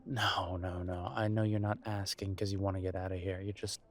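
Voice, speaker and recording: A male actor as a gentle, nervous boyfriend in an audio drama, with a fairly low voice. He speaks intimately and haltingly, trying to reassure while sounding unsure himself. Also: level tone. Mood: reassuring, nervous. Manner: hesitant, halting, sighing delivery with soft chuckles. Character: nervous, reassuring grown son visiting his mother, affectionate boyfriend. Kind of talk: intimate audio roleplay acting.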